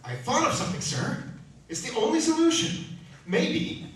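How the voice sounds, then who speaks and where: An actor playing an eager, excitable junior spaceship crewman in a radio drama, hesitant as he offers theories. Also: very high-pitched, moderate pace, heavily acted. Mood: eager, speculative. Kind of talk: old-time sci-fi radio drama acting. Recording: very echoey, distant, faintly noisy.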